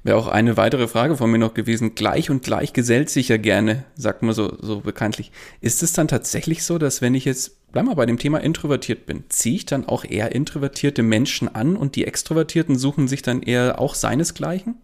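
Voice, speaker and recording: A man hosting a podcast, asking his guest friendly questions in a relaxed interview. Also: mid-range voice, brisk, few pauses. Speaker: podcast host.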